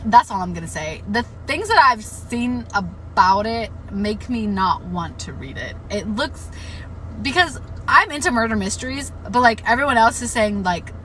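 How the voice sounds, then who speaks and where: A young woman chatting casually on a live stream about books, frank and swearing freely, her voice a bit hoarse from overuse. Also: on the high side, lively intonation, moderate pace, fairly bright tone, slight background noise, low-quality recording. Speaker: book livestreamer.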